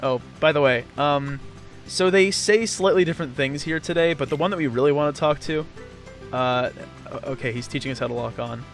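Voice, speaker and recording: A man giving casual video game commentary, explaining in a halting, rambling way with sarcastic jokes. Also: fairly high pitch. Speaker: let's play host and player. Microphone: voice-over commentary recorded over game footage. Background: game music.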